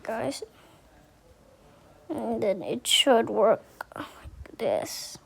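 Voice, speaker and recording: A woman giving a casual how-to tutorial, speaking haltingly and repeating herself as she thinks aloud. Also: fairly high pitch, sing-song, creaky, husky. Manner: halting, repetitive, thinking aloud while demonstrating. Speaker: tutorial host.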